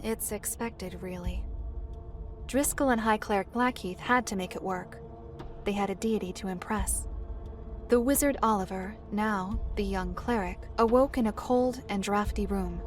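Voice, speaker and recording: An actress playing a formal, matter-of-fact storyteller who recounts a dark fantasy history in a measured way. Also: female voice, medium pitch, close to an everyday voice. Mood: grave narration. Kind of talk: scripted fantasy narration. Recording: faint music behind the voice. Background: music.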